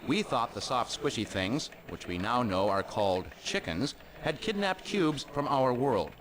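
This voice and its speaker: An actor playing a solemn, melodramatic news anchor in a parody game cutscene. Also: male voice, medium pitch, big pitch swings, measured pace, a bit bright, a little hiss or hum. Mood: dramatic, solemn. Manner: solemn, dramatic newsreader delivery.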